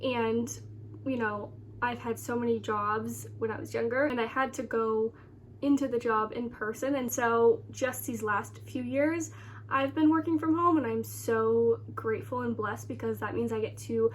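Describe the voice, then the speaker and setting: A woman's voice: fairly high pitch, fairly even intonation, normal speed, a little hiss or hum. An American woman in her early twenties chatting casually to viewers as a vlogger, rambling along with a slightly congested, nasal voice. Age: early 20s. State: congested with sore throat. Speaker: lifestyle vlogger.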